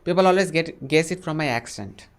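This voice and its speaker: A man's voice: fairly high pitch, lively intonation, a little fast, vocal fry, clear, resonant tone. A man with an Indian accent chatting on a video call, echoing words back and offering friendly advice. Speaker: channel host chatting with strangers. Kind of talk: casual video chat.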